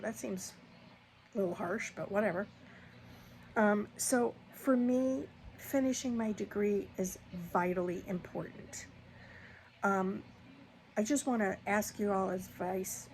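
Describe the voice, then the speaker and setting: A woman's voice: medium pitch, darkish tone, poor audio. Lady in her 60s just chatting to her viewers, rambly and open, bit of hiss behind. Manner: candid, rambling, with many fillers and restarts. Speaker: vlogger. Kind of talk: casual chat to viewers.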